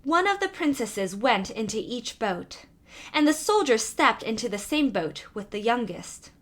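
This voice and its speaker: Lady reading a fairy tale, takes her time, highish voice, really animated. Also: measured pace. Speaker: storybook narrator. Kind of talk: scripted fairy tale narration, read aloud.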